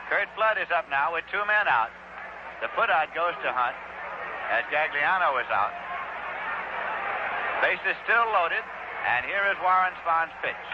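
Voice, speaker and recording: A man with an American accent calling baseball play-by-play in a measured, explanatory way on an old 1960s radio broadcast. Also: fairly high pitch, lively intonation, lo-fi sound. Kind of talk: live baseball play-by-play commentary. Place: outdoor ballpark broadcast. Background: loud noise, stadium ambience.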